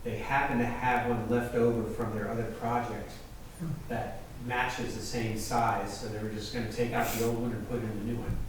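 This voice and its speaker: A man's voice: mid-range voice, level tone, normal speed. Distant neighbor man in an echoey hall. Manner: casual, helpful, says he has a strong voice. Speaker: neighbor speaking at public hearing. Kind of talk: public comment. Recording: echoey hall, distant mic, background noise.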